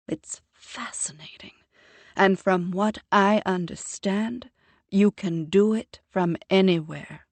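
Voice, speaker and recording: A woman's voice: mid-range voice, no room sound. Stern Jamaican mother, read by a woman. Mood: commanding. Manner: formal, commanding, lecturing. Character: proud composed older Jamaican mother. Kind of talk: audiobook character voice.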